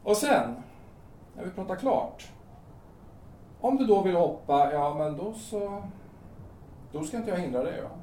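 A man acts out a calm, coaxing carpenter in an audio drama. His voice sits high for a man and moves animatedly, with hesitant, colloquial phrasing. It sounds roomy and distant from the microphone. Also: pauses often, a little hiss or hum. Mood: calm, placating.

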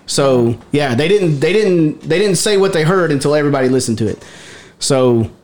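A man's voice: fairly high pitch, animated, normal speed, darkish tone. A man on a paranormal investigation team, talking casually and emphatically, often repeating himself. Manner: repetitive, restarts often, emphatic. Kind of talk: casual group discussion.

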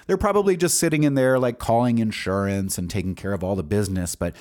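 Deep voiced american guy on a podcast, rambly and candid, swears a bit, thinks out loud as he tells his story. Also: fast. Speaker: podcast host. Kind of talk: casual autobiographical storytelling.

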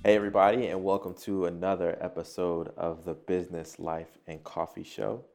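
A man's voice: low-pitched, little pitch movement, fairly quick, vocal fry, a little mellow, faint music behind. A man hosting a podcast interview in an upbeat way, often repeating his words. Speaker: podcast host.

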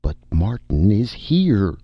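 Storybook villainous boss: male reader as furious male movie director, loud, imperious, exasperated, rough-edged and swooping. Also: fairly low pitch, sing-song, slow, no echo, dull audio, voice pushed up, heavily acted. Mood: outraged, roaring.

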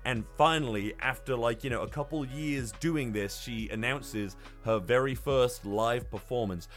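A man's voice: mid-range voice, measured pace, fairly bright tone, soft background music. A man on a podcast panel, casually talking through a film review.

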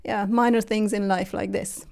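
Mellow-voiced Hungarian woman, hesitant. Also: mid-range voice, little pitch movement, moderate pace, vocal fry. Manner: hesitant, many fillers and restarts. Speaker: guest. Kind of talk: casual interview conversation.

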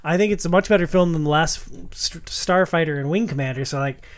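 Guy with a lightish higher voice hosting a casual movie podcast. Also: a little fast. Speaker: podcast host. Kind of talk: casual moderated film discussion.

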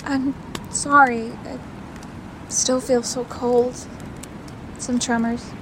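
A woman plays a young survivor with a high voice in an audio drama. She sounds tentative and vulnerable, with clear ambient noise behind her. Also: level tone, slow pace. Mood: timid, shaky. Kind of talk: naturalistic audio drama dialogue.

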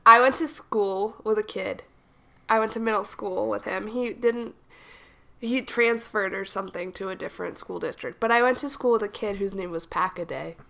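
A woman's voice: medium pitch, moderate pace, gravelly, fairly bright tone. A young adult woman co-hosting a casual chat podcast, sounding a little froggy from a cold and telling rambling stories. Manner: chatty, rambling storyteller. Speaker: podcast co-host.

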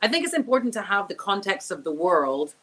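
A woman's voice: mid-range voice, sing-song, brisk, a bit bright, husky. Woman, sportscaster guest, emphatic and reflective, talking over a remote call. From Barbados. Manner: emphatic, reflective, repeats key words. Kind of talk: casual interview chat.

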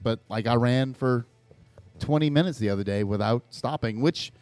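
A man's voice: medium pitch, normal speed, darkish tone, no echo. A man co-hosting a podcast, talking casually and loosely with some swearing, music behind him. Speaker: podcast co-host. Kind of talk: casual chat.

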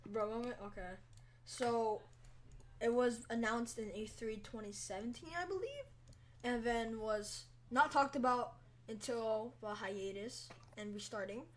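Host, woman, higher voice, giggly banter, steers topics, voice chat. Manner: giggly, teasing, quick topic changes. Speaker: podcast host. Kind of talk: casual group chat podcast. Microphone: online voice chat.

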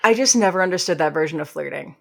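A woman's voice: deep voice, animated, fast talker, creaky, a loud crowd. A woman co-hosting a podcast, chatting casually and acting out reactions as she tells anecdotes. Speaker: podcast co-host. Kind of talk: casual chat.

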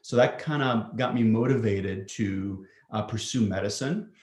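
A man with a low voice explains anxiety as a psychiatrist, talking in a measured way with many ums and uhs. His voice rings in an echoey room. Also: a little mellow. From Greece. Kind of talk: explanatory interview answers.

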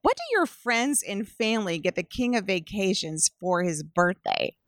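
A woman's voice: medium pitch, expressive, moderate pace, fairly bright tone, no echo, studio quality. A woman hosting a casual interview, asking curious, upbeat questions. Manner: curious, upbeat, laughs often. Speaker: podcast host.

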